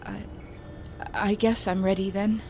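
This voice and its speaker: A woman's voice: mid-range voice, little pitch movement, unhurried, dark tone, slight background noise, lightly acted. A woman playing a nervous, grieving daughter in an audio drama, hesitant and tender in her delivery. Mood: nervous, subdued.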